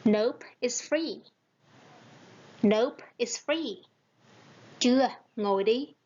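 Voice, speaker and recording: A woman reading short English practice phrases slowly and repeating them, each followed by a Vietnamese translation. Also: fairly high pitch, a little mellow, dull audio. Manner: very slow, carefully spaced phrases.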